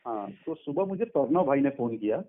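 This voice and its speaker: A man's voice: medium pitch, big pitch swings, brisk. A man chatting casually on a phone call, informal, familiar and reassuring as he answers questions. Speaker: artist's manager, interviewee. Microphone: telephone. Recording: tinny phone call recording.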